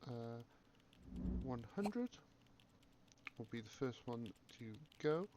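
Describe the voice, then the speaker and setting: A man with a croaky voice from a cough, calmly commentating a game and thinking aloud with many pauses. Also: mid-range voice, noisy background. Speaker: gaming video creator. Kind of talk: relaxed gameplay commentary.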